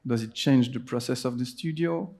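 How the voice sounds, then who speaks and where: A man's voice: medium pitch, moderate pace, gravelly, warm tone. A man in his twenties with a Swiss French accent, giving a conference presentation with many hesitations and restarts. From Switzerland. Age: 20s. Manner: hesitant, frequent fillers and restarts. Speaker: designer giving a conference talk. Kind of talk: presentation talk.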